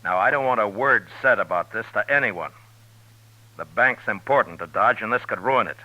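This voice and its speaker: An actor playing a firm, commanding frontier marshal in a 1950s radio drama. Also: male voice, lowish voice, moderate pace, pushed and bright. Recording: dull, lo-fi old radio broadcast.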